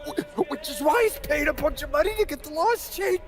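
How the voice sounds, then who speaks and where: A man's voice: very high-pitched, normal speed. An actor playing a cowardly, desperate American mob henchman in a crime video game, panicked, stammering and pleading. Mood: frightened, stammering. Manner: pleading, stammering, foul-mouthed. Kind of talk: dramatic crime game acting. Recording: music behind the voice.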